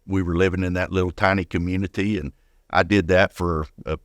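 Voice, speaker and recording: A man's voice: low-pitched, flat delivery, a little fast, no room sound, studio quality. An older man speaking as an interview guest, telling personal stories casually and often repeating words as he goes. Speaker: guest, retired firefighter.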